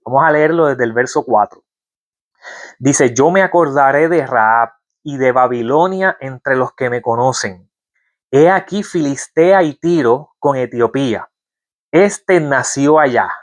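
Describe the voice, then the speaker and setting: A man's voice: mid-range voice, measured pace. A man giving a Bible-teaching sermon, reading verses aloud and asking his listeners rhetorical questions. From Puerto Rico. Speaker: preacher.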